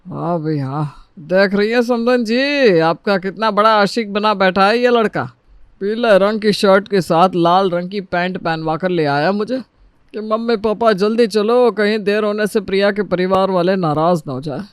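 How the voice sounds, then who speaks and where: Man as middle-aged father, wry, complaining, high pitched, smooth, audio story. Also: moderate pace, no echo, clean studio sound, natural voice. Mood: teasing, wry. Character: grumbling, teasing middle-aged father of the groom.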